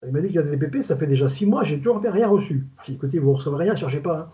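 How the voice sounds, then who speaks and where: Older man, southern French accent, retired inspector type; rapid, rambling, colloquial delivery, mellow tone, lo-fi call audio. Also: fairly high pitch, expressive. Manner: fast, rambling, colloquial, stumbles and repeats words. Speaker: retired food safety inspector, guest expert. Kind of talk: casual webinar talk. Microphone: video call. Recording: dull lo-fi video call audio.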